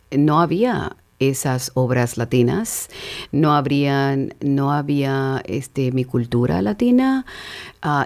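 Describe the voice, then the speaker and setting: Very low-voiced woman, a visual artist guest. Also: darkish tone. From southern Texas, on the Mexican border. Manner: thoughtful, many fillers and restarts, slips into English. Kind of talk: conversational interview answers.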